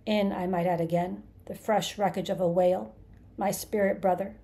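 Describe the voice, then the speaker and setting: A battered, hateful creature played by a woman narrator from a storybook, snarling and hissing out his grudge. He is bitterly sarcastic and menacing, with a mellow darkness in the tone. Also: mid-range voice, steady tone, moderate pace, close to an everyday voice. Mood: bitter sarcasm. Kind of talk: dramatized audiobook character.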